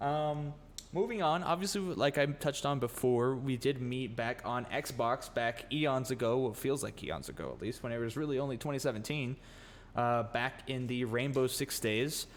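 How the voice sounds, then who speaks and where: A man's voice: medium pitch, normal speed. A man hosting a casual podcast, joking and teasing his guest and swearing freely. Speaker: podcast host. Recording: long room reverb, faint noise.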